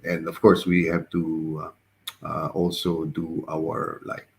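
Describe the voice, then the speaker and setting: A man with a very deep, slightly raspy voice, recovering from the flu, hosts a podcast over a video call. He speaks in a casual, hesitant way, often stopping and repeating his words. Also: completely flat delivery, slow pace. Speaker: podcast host. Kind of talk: casual online interview.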